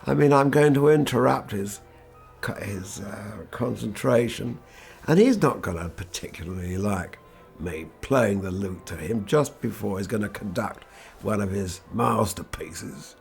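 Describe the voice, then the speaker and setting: An older man, a guitarist, telling anecdotes from his life in a relaxed, wry, conversational way. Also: lowish voice, a little slow, creaky, soft background music. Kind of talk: reflective anecdotal storytelling.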